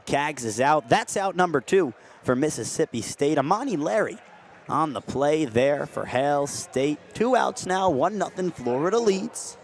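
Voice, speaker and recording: A young man calling a game as a radio play-by-play announcer, steady between plays and rising to excited shouts on big hits. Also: a little high. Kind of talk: radio sports play-by-play commentary.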